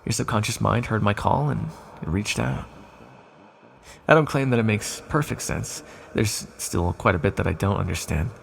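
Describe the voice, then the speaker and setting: A man plays an ancient villain speaking straight to the listener in an ASMR roleplay. The voice is low and very gravelly, with a husky edge. He is smug and condescending, yet lonely and softly flirtatious. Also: normal speed, exaggerated voice. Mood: tender, flirtatious.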